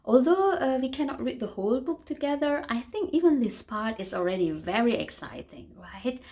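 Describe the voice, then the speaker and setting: A woman reading a children's story aloud in an expressive way, exclaiming and putting questions to her listeners. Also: fairly high pitch, moderate pace. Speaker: storytime reader for a children's book club. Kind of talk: animated children's read-aloud with commentary to listeners.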